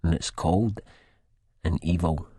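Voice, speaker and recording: A man's voice: low voice, slow, warm tone, husky, no room sound, clean studio sound. A man with an Edinburgh Scottish accent narrating an audiobook in the first person, dramatically and in dialect.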